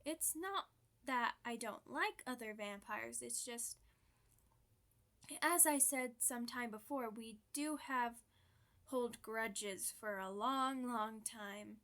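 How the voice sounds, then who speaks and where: A woman's voice: fairly high pitch, slow pace, bright, forceful tone, exaggerated voice. A woman voicing a polite, chatty, proud old female vampire being interviewed, speaking in genteel, slightly formal phrases for an ASMR roleplay. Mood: candid, matter-of-fact.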